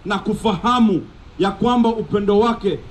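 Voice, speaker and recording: A man's voice: sits high, moderate pace. A young Kenyan man preaching outdoors on a sandy beach street, declaiming with urgent repetition as a street preacher, picked up by a camera set at a distance. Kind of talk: open-air sermon mixing Swahili and English.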